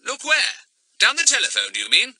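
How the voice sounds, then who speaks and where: A cheeky schoolboy full of mock innocence and exaggerated politeness, as a male narrator puts him on. The voice sits high and swoops about lively. It is recorded close and dry. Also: moderate pace, no room sound. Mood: cheeky polite.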